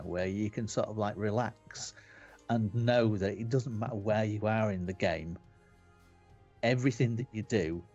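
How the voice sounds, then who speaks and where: A Yorkshire man chatting as the host of a game webcast, his voice sitting low and a little dull, as if through a modest webcam mic. He rambles, repeats himself and fills the gaps with "um" and "yeah". Faint music plays underneath. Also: a little mellow. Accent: Yorkshire English. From West Yorkshire, England. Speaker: podcast host. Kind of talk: casual chat on a game webcast. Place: home room lit red.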